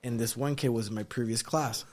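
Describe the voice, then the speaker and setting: A middle-aged man tells casual stories while co-hosting a podcast. His voice is of middle pitch. He acts out the lines people said to him. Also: a little fast. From Puerto Rico. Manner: animated storyteller, acts out quotes. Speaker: podcast co-host. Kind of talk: casual storytelling.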